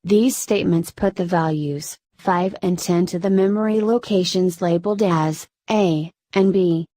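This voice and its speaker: A woman narrating a programming lesson in a flat, robotic-sounding voice, reading slowly and evenly from a script. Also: lowish voice, dry recording. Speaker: programming tutor.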